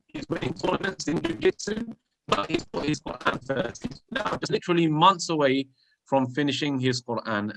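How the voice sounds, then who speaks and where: A man's voice: on the high side, fairly bright tone, poor audio. A man presenting a live children's religious programme over a video call, speaking in an encouraging, exclamatory way. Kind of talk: live online hosting and motivational talk.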